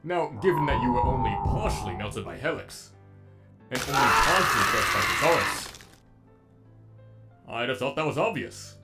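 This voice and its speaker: A man's voice: mid-range voice, big pitch swings, moderate pace, long pauses, over loud music, lightly acted. A man voicing a sadistic, erudite robot villain with an eloquent, menacingly polite delivery, as in a comic dub. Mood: mocking, menacing.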